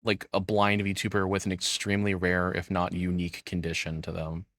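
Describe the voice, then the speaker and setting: Male streamer, low dry voice, loose rambling reaction chat with casual cursing. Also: monotone, moderate pace, a bit bright, no room sound. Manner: rambling, self-deprecating, swears casually.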